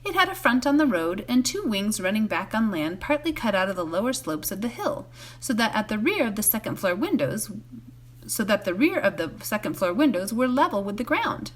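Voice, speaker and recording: Female volunteer storyteller, mid-pitched and expressive, with lively melody for bedtime novel narration. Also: fairly quick.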